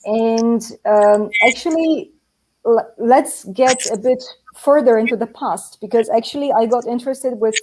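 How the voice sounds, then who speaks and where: A woman's voice: on the high side, a little slow, mellow, slight background noise, poor audio. Chatty young Polish woman on a video call. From Poland. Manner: chatty, laughs often, many fillers. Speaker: guest. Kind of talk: casual live-stream chat. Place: translation agency office.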